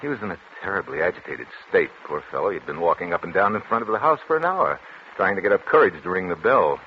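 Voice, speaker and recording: An actor playing a troubled, solemn doctor in a formal, deliberate 1940s radio drama style. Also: male voice, deep voice, expressive. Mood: animated, explaining. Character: troubled, solemn male doctor and psychiatrist narrating his confession. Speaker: actor, narrator and lead. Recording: dull, noisy 1940s radio recording.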